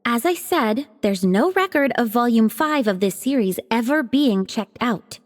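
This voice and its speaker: A woman's voice: a little high, lively intonation, measured pace. A woman playing a prim, fussy librarian in a fantasy game who sounds officious and flustered. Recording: game dialogue with reverb and music.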